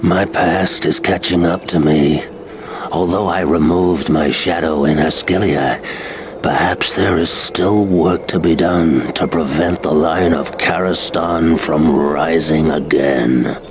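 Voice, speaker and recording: A brooding fantasy game villain with an extremely deep voice, gravelly and menacing. He speaks slowly and formally, like a warrior set on vengeance, over clear background noise. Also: fairly even intonation. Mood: grim, menacing. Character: brooding vengeful dark male warrior serving a master. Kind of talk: fantasy video game voice acting. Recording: noisy game cutscene capture.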